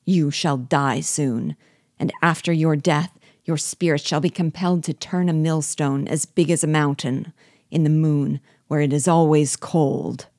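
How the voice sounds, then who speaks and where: A woman's voice: deep voice, steady tone, a little slow, darkish tone, no echo, studio quality. A woman voicing a vengeful dwarf sorcerer in an audiobook, commanding and passionate, with a raised voice. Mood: furious cursing. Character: vengeful dwarf sorcerer, furious man hurling curses. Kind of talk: dramatic audiobook character reading.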